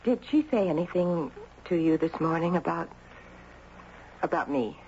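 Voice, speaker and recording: An actress playing a guilt-ridden, grieving middle-aged mother in halting, confessional 1970s radio drama style. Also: sits low, animated, darkish tone. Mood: anxious, embarrassed. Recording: muffled, noisy 1970s radio recording.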